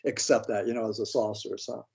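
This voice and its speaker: A man's voice: on the low side, level tone, fairly quick, vocal fry, darkish tone, breathy edge. An American man talking casually as an interview guest, blunt, skeptical and slangy in his opinions. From Pennsylvania, United States. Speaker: interview guest, paranormal author.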